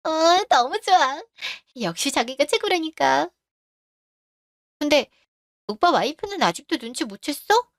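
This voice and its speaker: Flirty greedy mistress, woman voicing her, cooing and coaxing, high-ish voice. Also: very animated, measured pace, pauses often, no echo, studio quality, heavily acted. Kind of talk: Korean radio drama.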